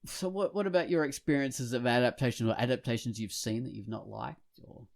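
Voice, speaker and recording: A man co-hosting a podcast, speaking casually in a halting, reflective way. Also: mid-range voice, gravelly. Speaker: podcast co-host. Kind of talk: casual conversational discussion.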